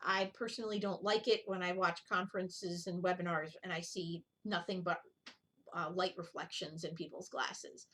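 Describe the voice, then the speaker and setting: A woman's voice: on the low side, flat delivery, moderate pace, fairly bright tone. A middle-aged woman chatting casually as the host of a needlework video, rambling and drifting off on tangents. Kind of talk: casual chat, show-and-tell vlog.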